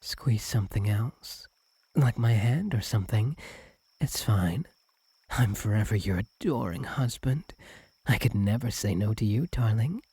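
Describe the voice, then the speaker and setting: A man voicing a doting, possessive fox-spirit husband in an intimate ASMR roleplay, soft and breathy, often slipping into whispers, tender and clingy. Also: on the low side, lively intonation, measured pace, no room sound, exaggerated voice. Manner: tender, clingy, hesitant, lingering on words.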